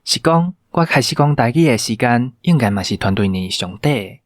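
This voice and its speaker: A man's voice: medium pitch, expressive, normal speed, no echo. Male team member, mid-range voice, dry recording, casual thanks.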